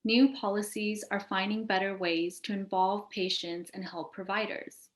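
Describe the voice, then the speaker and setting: A woman's voice: mid-range voice, fairly even intonation, moderate pace. A woman hosting an educational show, reading from a script and putting questions to panelists. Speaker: host of a patient advocacy show. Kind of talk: scripted presentation with question prompts. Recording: echoey room sound.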